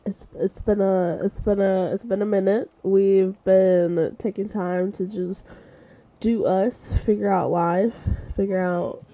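A woman co-hosting a casual podcast chat, congested and stuffy, playful and quick to laugh. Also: medium pitch. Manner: laughing, playful, animated asides. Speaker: podcast co-host.

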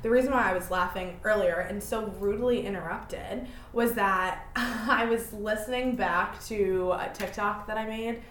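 A woman's voice: mid-range voice, moderate pace, few pauses, fairly bright tone, a little hiss or hum. Twenties woman, solo podcast host; chatty, self-mocking, rambly; echoey room. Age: 20s. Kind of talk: casual solo podcast chat.

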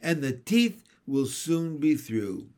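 Storybook mum: male reader playing an oblivious, crooning mother, raised pitch, slow and very animated. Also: heavily acted. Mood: proud crooning. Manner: gushing, crooning, soothing. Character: fussing, doting, oblivious mother of babies.

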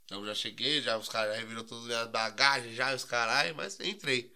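A man's voice: medium pitch, fast, sharp tone. A young man, co-hosting a podcast and telling stories in a slangy, rambling way. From Brazil. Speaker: podcast co-host. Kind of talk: casual chat.

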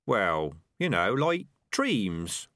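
A man's voice: fairly low pitch, big pitch swings, very slow, sharp tone, no room sound, studio quality, heavily acted. A man voicing a naive, earnest rat in an audiobook, insistently asking big questions. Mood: earnest, questioning. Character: naive, earnest philosophical young rat pondering the afterlife.

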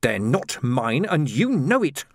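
A man's voice: fairly high pitch, very animated, moderate pace, a bit bright, no room sound, studio quality, pitched above the performer's own voice. A man voicing an arrogant, irate plain-clothes secret policeman who snarls with indignant contempt, in an audiobook. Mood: snarling, exasperated. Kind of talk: audiobook character voice.

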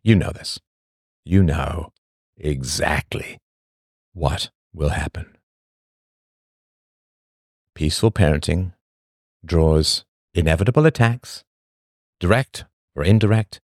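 A man hosting a podcast, reading a scripted monologue full of rhetorical questions and emphatic pauses. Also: deep voice, no room sound, clean studio sound. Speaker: podcast host.

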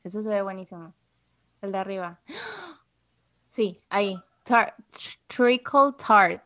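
A woman's voice: medium pitch, big pitch swings, takes it slowly, bright, forceful tone, dry recording, clean studio sound. A woman chatting casually as a podcast co-host, switching freely between two languages mid-sentence. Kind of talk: casual chat.

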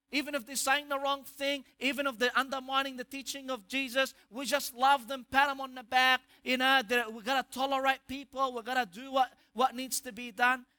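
A man's voice: very high-pitched, pushed and bright, no room sound. A man with an Egyptian accent preaching a sermon, emphatic, asking rhetorical questions and restarting phrases. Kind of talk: sermon on scripture.

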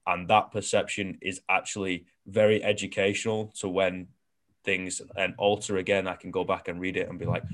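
A man's voice: low-pitched, flat delivery, normal speed, fairly bright tone. A young man hosting a casual interview, talking frankly and rambling with lots of fillers and the odd swear word. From outside New Zealand. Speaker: podcast host.

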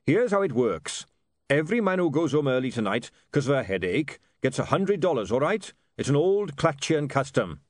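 A gruff dwarf printer played by a man in a fantasy audiobook. He sounds practical and no-nonsense, with animated intonation. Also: on the high side, normal speed, no room sound, studio quality, voice pushed up. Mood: brisk commanding.

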